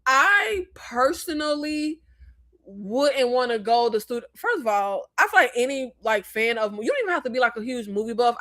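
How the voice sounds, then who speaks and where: Animated young Floridian woman, high and dry. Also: no room sound. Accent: Floridian Southern slang. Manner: animated, emphatic, jumps into high pitch when exasperated. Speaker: live stream host discussing movies. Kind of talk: casual live chat reading viewer comments.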